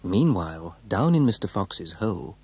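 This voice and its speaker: Husky, low-voiced male storyteller. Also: big pitch swings, normal speed, no room sound. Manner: hushed, suspenseful storytelling. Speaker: narrator. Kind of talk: dramatic children's story narration.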